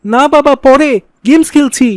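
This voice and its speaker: A man's voice: extremely high pitch, normal speed, dry recording. A man playing a reluctant, grumbling young son in an Islamic cartoon. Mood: reluctant, sulky.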